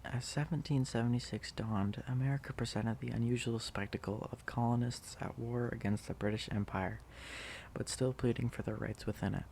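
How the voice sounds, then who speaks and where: A man playing an affectionate, sleepy student in an intimate ASMR roleplay, soft, teasing and halting as he dotes on a pet. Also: mid-range voice, steady tone, gravelly, a little hiss or hum, close to an everyday voice. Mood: cozy, sleepy. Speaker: audio roleplay performer.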